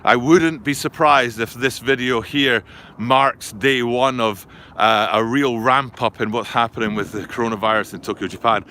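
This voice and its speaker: A man's voice: medium pitch, fairly even intonation, normal speed, bright, forceful tone. A man filming a live outdoor vlog, talking in a rambling, chatty way and often correcting himself. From Scotland. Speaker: vlogger. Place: outdoors by a river and highway in a city. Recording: faint outdoor street noise. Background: traffic and city ambience.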